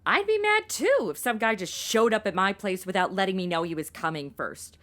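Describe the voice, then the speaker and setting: A woman in her twenties playing a brash, excitable, slangy amateur detective in a comedic audio drama. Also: medium pitch, brisk, natural voice. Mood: sassy, nosy. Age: 20s. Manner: sassy, slangy, interrupting.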